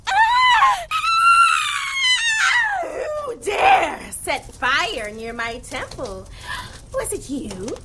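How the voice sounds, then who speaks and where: A woman playing an ancient, menacing demon witch in an audio drama, taunting her foes with slow, imperious theatricality. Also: sits high, wildly swooping pitch, raised voice, background noise, exaggerated voice. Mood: enraged. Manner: slow, mocking, imperious delivery.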